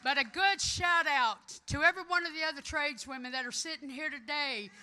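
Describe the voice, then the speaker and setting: A woman's voice: high voice, fairly quick, some chatter behind. A middle-aged woman with a Southern US accent, delivering a rousing, folksy, emphatic conference speech as a union leader. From American South, Florida. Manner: emphatic, folksy, loud and declamatory. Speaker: union electrician and trades council president giving a speech. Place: conference hall, addressing an audience.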